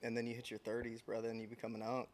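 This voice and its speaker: A man in his thirties from San Diego, a podcast guest talking in a reflective, unhurried way with frequent restarts. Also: mid-range voice, monotone, darkish tone. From San Diego, United States. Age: 30s. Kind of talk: casual interview chat.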